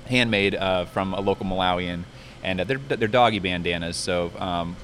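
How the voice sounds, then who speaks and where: A man's voice: low voice, moderate pace, a bit bright, a little hiss or hum. A man chatting casually and at length as a restaurant manager being interviewed on site, talking in a rambling way. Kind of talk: casual promotional interview chat. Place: inside a pizza restaurant.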